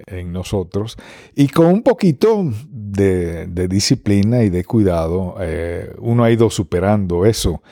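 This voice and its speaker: A Dominican man with a largely neutralized accent speaks at a deliberate, slow pace, pausing often and filling gaps with soft hesitations. His voice is dark and mellow. It is recorded close and dry. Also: fairly low pitch, no room sound. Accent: Dominican Spanish, mostly neutralized. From Dominican Republic. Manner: unhurried, many pauses and fillers. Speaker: radio broadcaster, interview guest. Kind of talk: reflective interview answers.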